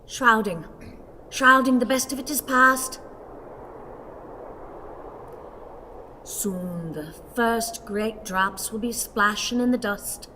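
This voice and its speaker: Casting: long-suffering elderly wife, highish voice, doleful and fussing, theatrical radio drama, reverberant hall. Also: female voice, takes it slowly, bright, forceful tone, a little hiss or hum. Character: fretful, long-suffering old wife, coaxing and insistent. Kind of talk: theatrical radio play acting.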